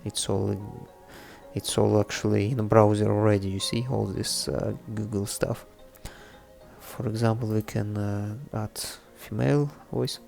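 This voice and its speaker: Gravelly Russian-accented man, flat delivery. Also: on the low side. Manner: halting, thinking aloud. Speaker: programming tutorial presenter. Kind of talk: casual screen-share coding tutorial. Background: background music, typing on keyboard.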